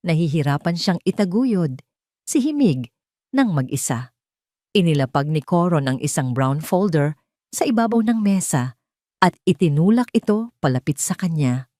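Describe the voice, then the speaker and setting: A woman's voice: low-pitched, darkish tone, no echo, studio quality. A woman narrating a scripted dramatic story as a storyteller.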